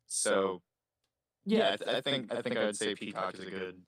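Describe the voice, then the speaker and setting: Lower-voiced man in casual interview. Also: moderate pace, a bit bright. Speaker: interviewed voice actor.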